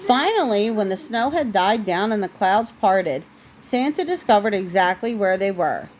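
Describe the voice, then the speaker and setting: A woman who is a parent reading a storybook aloud in an expressive way, with casual asides. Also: mid-range voice, normal speed, slight background noise, low-quality recording.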